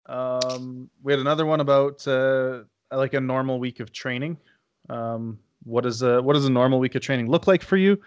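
A man's voice: mid-range voice, normal speed, clean tone, dry recording. A man hosting a podcast in casual chat, with an informal, joking way of talking. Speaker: podcast host.

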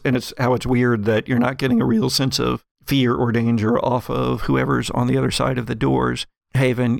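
A male game master with a creaky, gravelly grain in a dry, close recording. He describes each scene in an improvised, halting way, at a medium pitch. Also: dry recording. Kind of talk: improvised narration of a tabletop roleplaying game.

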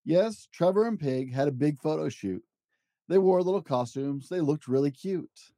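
Warm, lively storytelling dad. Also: male voice, on the high side, moderate pace, no room sound, studio quality. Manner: animated, gentle storytelling. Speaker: podcast host and dad reading to children. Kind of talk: reading a picture book aloud.

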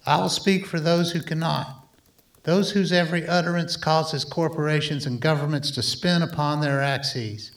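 A man's voice: a little high, fairly even intonation, moderate pace, a bit bright. An actor playing an earnest, guilt-ridden older male scientist who speaks in formal, literary phrases in a radio play. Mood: resolute.

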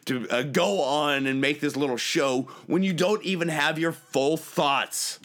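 A man playing a pompous, bright-voiced loudmouth. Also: fairly high pitch, measured pace. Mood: boastful. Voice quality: gravelly. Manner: pompous, bullying, condescending. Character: arrogant male loudmouth who thinks he's the best. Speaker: recurring comedic guest. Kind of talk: improvised comedy bit.

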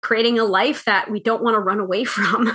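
A woman's voice: mid-range voice, fairly even intonation, brisk, bright, forceful tone, husky. A woman co-hosting a podcast, a tarot reader chatting casually and laughing easily as she tells stories.

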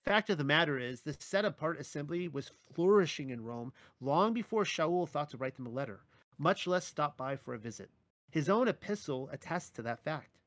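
A man's voice: a little high, animated, moderate pace, dry recording. A man reading his own religious article aloud, quoting scripture and adding dry, wry asides. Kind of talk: reading and commenting on a written article.

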